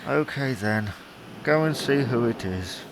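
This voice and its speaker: Actor as a dry, long-suffering husband. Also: male voice, medium pitch, animated, measured pace, a little hiss or hum, low-quality recording. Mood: exasperated. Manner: wry, dryly placating. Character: dry, long-suffering husband, placating his anxious wife. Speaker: audio drama actor. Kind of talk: domestic comedy-drama dialogue.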